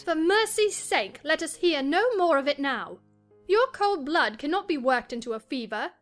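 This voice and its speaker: A high, theatrical voice of a passionate young married gentlewoman, self-dramatising and agitated. It is a dramatized period novel, with faint music underneath. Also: animated, normal speed. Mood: exasperated. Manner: theatrical, distressed. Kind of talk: dramatized classic novel reading.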